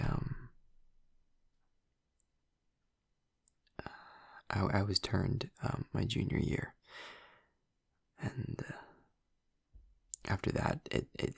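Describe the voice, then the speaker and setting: Hushed, gravelly young male vampire played by a man. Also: deep voice, animated, a little slow, long pauses, no room sound, studio quality, exaggerated voice. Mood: hesitant, reflective. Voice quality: breathy, husky, gravelly murmur. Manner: hesitant, rambling, casual. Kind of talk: intimate audio roleplay addressed to the listener.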